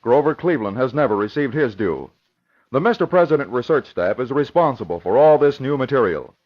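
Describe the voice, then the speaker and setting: A man's voice: medium pitch, animated, brisk. An American man reading a scripted promotion in the style of an old-time radio announcer. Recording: dull old radio recording.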